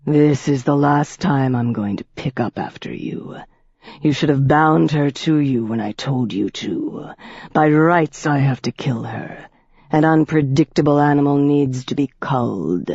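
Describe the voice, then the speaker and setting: A narrator voicing an ancient male vampire master who speaks slowly, coldly and in a low hush. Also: husky, dry recording. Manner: slow, low, cold and softly whispered. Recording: dull dry audiobook recording.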